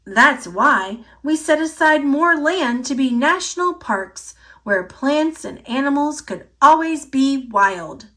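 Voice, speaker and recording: A woman's voice: high voice, lively intonation, slow, hardly any pauses, pushed and bright, pitched above the performer's own voice, heavily acted. A woman voicing a bold, hearty schoolgirl who plays Teddy Roosevelt in an exclamatory storybook style.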